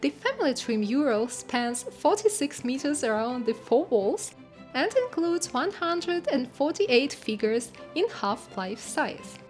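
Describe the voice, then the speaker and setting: A woman's voice: sits high, very animated, a little slow, over music. A woman vlogger giving travel narration and casual commentary on a sightseeing visit.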